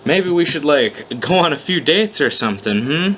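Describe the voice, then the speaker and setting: A man's voice: fairly high pitch, expressive, moderate pace, fairly bright tone. A man reading aloud as a wry, flustered human man who narrates his own predicament with sarcastic exasperation. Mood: nervous sarcasm. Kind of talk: first-person story read aloud. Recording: noticeable background noise, amateur recording.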